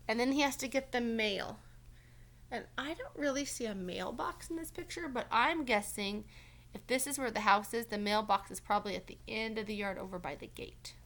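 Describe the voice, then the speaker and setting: A woman's voice: on the high side, a bit bright. A woman reading a storybook aloud as a teacher, encouraging and stopping to check in with young listeners. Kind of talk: storybook read-aloud with questions to children.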